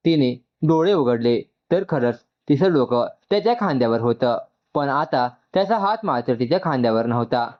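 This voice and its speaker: A man's voice: mid-range voice, animated, moderate pace, dull audio. A man narrating an emotional story from a script.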